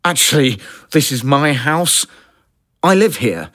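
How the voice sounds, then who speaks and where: A man's voice: on the high side, very animated, takes it slowly, a bit bright, a little hiss or hum, exaggerated voice. A young actor playing an awkward, apologetic modern young man stranded in the past. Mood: flustered. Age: young adult. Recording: music score under the voice.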